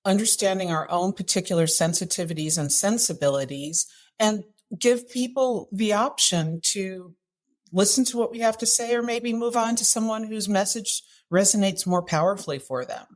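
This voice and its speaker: A woman's voice: medium pitch, moderate pace. A woman speaking as an interview guest and coach, giving direct, emphatic advice in conversation and often posing rhetorical questions. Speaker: guest author and activism coach.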